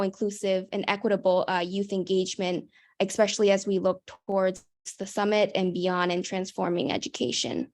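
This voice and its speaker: A woman moderating an online meeting, introducing the next speaker in a friendly way with frequent ums and uhs over a video call. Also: mid-range voice.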